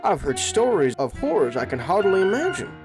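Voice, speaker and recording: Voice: mid-range voice, sing-song, brisk, music in the background, lightly acted. A performer voicing an earnest, dignified, compassionate village leader in a cartoon comic dub, polite and formal. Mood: heartfelt. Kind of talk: comic book fan dub cartoon acting.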